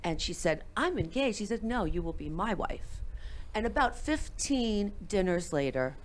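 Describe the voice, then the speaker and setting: A woman who is a guest on a talk show tells her parents' love story with lively acted-out dialogue. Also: medium pitch, normal speed, slight background noise, low-quality recording. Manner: animated storyteller acting out family dialogue. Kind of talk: storytelling in an interview.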